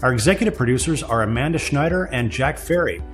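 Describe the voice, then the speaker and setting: A male podcast host reads a scripted sign-off in a polished manner. His mid-pitched voice moves with lively intonation over faint music. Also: fast talker. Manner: polished, presenterly. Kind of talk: scripted podcast outro narration.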